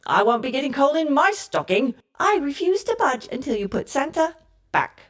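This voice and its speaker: An actress playing a cheeky, stubborn submarine computer with a British accent, chatty and always talking back in an audio comedy. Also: female voice, high voice, big pitch swings, normal speed, a bit bright. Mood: defiant. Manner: sassy, chatty, talks back. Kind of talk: comedic audio drama acting. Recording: music bed behind the voice.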